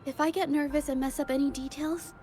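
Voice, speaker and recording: A woman's voice: sits high, steady tone, a little fast, dark tone, a little hiss or hum, lightly acted. A shy, stammering young painter, voiced by a woman. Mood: earnest. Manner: stammering, hesitant. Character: shy young female painter, nervous but earnest. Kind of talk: game voice acting. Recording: faint music underneath.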